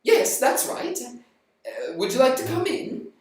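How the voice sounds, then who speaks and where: A storyteller putting on a stammering elderly mother, high and rising, very expressive, echoey room, far from the mic. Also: slow pace, full character voice. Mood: polite. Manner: stammering, frantic. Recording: roomy, distant mic.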